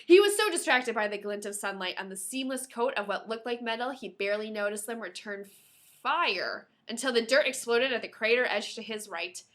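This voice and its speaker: A woman's voice: on the high side, lively intonation, a little fast, a bit bright. A woman co-hosting a podcast, playful, mixing casual banter with reading a novel aloud and correcting herself as she goes. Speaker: podcast co-host.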